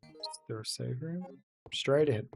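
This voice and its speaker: Male streamer, gravelly voice, casual chatty game commentary, faint music underneath. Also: mid-range voice, unhurried. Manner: chatty, reads and answers chat aloud. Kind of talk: casual let's-play commentary.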